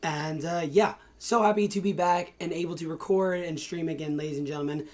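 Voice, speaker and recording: A hoarse young man in college hosting a casual, excitable let's-play commentary with lots of fillers. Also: on the high side, brisk. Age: young adult. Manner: excitable, chatty, many fillers. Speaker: gaming channel host.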